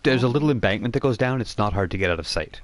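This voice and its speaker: A man's voice: fairly low pitch, animated, racing. A man running a tabletop game as game master, improvising narration and explaining rules in an informal way.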